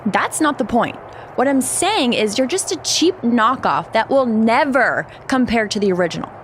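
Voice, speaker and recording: A woman's voice: fairly high pitch, animated, moderate pace, a little hiss or hum, close to an everyday voice. A woman voicing a cocky, mocking female wrestler villain in a video game, taunting and boastful. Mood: sneering, taunting. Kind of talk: video game cutscene acting, trash talk.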